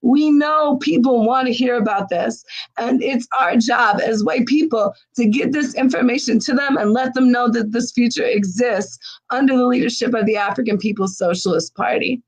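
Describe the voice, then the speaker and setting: A woman's voice: a little high, moderate pace, low-quality recording. A woman hosting a livestream fundraiser, losing her voice, urging viewers with urgent, exhorting talk. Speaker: livestream host.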